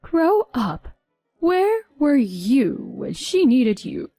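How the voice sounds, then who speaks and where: A woman's voice: fairly high pitch, expressive, measured pace, warm tone, dry recording, faint music behind. A woman voicing a blunt, fed-up relative who scolds the family. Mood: scolding.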